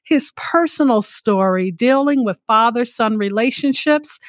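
High-voiced, unhurried woman hosting radio. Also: animated, no room sound, clean studio sound. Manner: effusive, encouraging. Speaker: radio show host.

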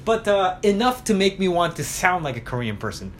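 A man's voice: high-pitched, big pitch swings, fairly quick, slight background noise. A man in a casual conversation, thinking aloud with frequent restarts and tag questions like "right?". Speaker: conversation partner. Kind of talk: casual chat.

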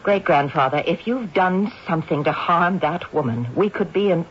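An actress playing a spirited unmarried woman who owns an old house, brisk and exasperated with the ghost and polite with her guests, in a 1970s radio drama. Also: fairly low pitch, close to an everyday voice. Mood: pleading. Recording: dull old radio broadcast with faint hiss.

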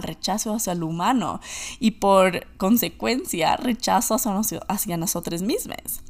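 A woman with an Ecuadorian accent and a mid-range voice speaks as a podcast host. She shares personal reflections in a deliberate way, often repeating a phrase to underline it. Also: mid-range voice. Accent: Ecuadorian Spanish.

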